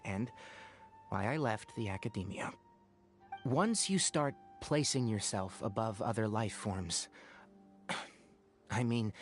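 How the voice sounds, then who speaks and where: An actor playing a serious, principled young male forest ranger and former scholar in a video game, speaking in a measured, articulate, earnest way. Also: mid-range voice. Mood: earnest, thoughtful. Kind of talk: scripted game dialogue.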